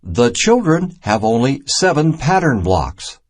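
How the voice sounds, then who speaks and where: Male narrator for a kids' educational storybook: deliberate, unhurried read, animated intonation, clearly separated phrases. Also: mid-range voice. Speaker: narrator of a children's math storybook. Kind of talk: scripted read-aloud narration, simple and instructional.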